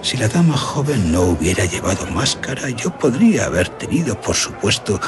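A man's voice: on the low side, very animated, moderate pace. An older man with a Castilian Spanish accent playing a grieving aristocratic general who recounts his tragedy in florid radio drama style. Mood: brooding. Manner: florid, theatrical, dramatic storytelling. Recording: music under the voice.

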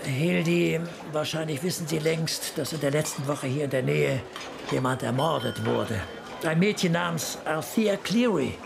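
An actor playing a gravelly, courteous homicide cop who flirts as he questions, in a German radio play. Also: on the high side, moderate pace, a crowd in the background. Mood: flirty, probing.

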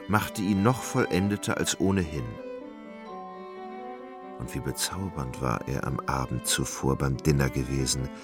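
A man's voice: low voice, creaky. A man narrating a literary radio play from a script. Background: music.

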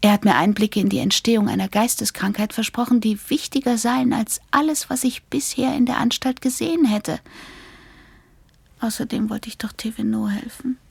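A woman's voice: fairly high pitch, moderate pace, gravelly, darkish tone, breathy edge, no echo. A woman playing a weary, frightened, affectionate young woman in an intimate radio play, half-whispering. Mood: confiding, uneasy.

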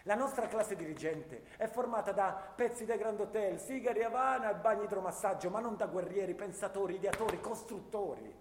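A man's voice: high-pitched, fairly quick, few pauses, close to an everyday voice. An actor playing a bitter, angry Italian alpine infantryman of the First World War, ranting indignantly in a theatrical radio play monologue. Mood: scornful ranting. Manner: indignant ranting, rhetorical questions, sarcastic. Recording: roomy, reverberant hall with faint noise.

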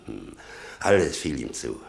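Gravelly, very deep-voiced, embittered old father. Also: very animated, a little slow, exaggerated voice. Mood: proud, mournful. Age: older. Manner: muttering, brooding, with sudden outbursts. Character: embittered old father obsessed with his convicted son. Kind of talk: German radio drama acting.

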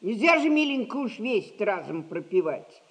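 An older actress playing a rich, sly old merchant matriarch in a theatrical audio comedy, scolding in a sardonic folksy way. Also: female voice, medium pitch, sing-song, normal speed. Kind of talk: theatrical audio play acting.

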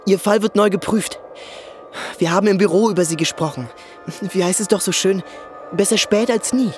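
A male actor is a polite official in a radio drama. He has a noticeably high voice and an earnest, persuading manner. Soft music sits behind him. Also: normal speed, close to an everyday voice. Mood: earnest, persuasive.

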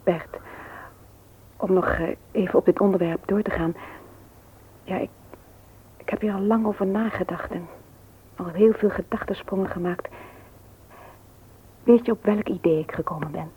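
An actress playing an earnest, gently persuasive married woman in a radio play, often hesitant and soft. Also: mid-range voice, moderate pace, long pauses, slightly rough, narrow, tinny audio. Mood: hesitant, hopeful. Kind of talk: Dutch radio play acting.

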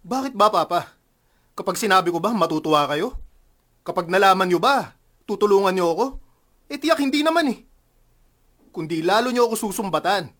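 Radio drama: sullen young male Filipino wastrel, slightly high voice, self-justifying. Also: expressive, brisk, pauses often, a bit bright. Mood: defiant. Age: young adult. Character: troubled, defensive young gambler son in debt.

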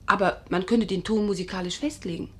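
An actress playing a sleepless, troubled and insistent patient in a 1950s German radio drama, speaking precisely and emphatically. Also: female voice, mid-range voice, steady tone, a little fast, a bit bright, husky. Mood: emphatic.

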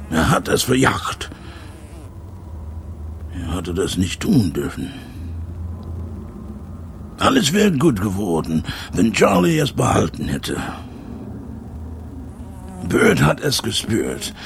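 An actor playing a bitter old jazz trumpeter, broken and haunted, rambling and repeating himself in a German radio drama. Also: male voice, fairly low pitch, wildly swooping pitch, slow, vocal fry, long pauses, slight background noise, full character voice. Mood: wistful, haunted.

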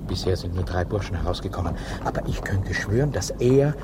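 Low-voiced, earnest detective. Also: male voice, expressive, brisk. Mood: intent, determined. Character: cautious, earnest American detective on a manhunt. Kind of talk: radio drama acting. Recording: clear outdoor vehicle ambience noise.

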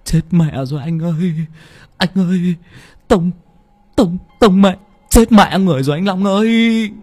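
A man voicing a panicky young male truck driver's mate who wails frantically in a high, strained voice. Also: animated, brisk, fairly bright tone, dry recording. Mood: panicked wailing. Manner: shrill, frantic wailing.

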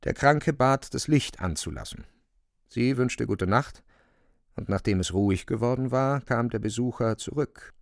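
A man's voice: medium pitch, lively intonation, a little slow, creaky, dry recording, clean studio sound. A man narrating a radio drama in a scripted, literary storytelling style.

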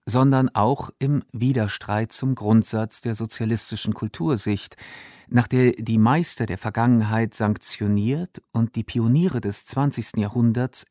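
A man reading literary prose aloud in a measured, articulate way. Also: medium pitch, dark tone, no room sound, clean studio sound. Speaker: reader of literary quotations.

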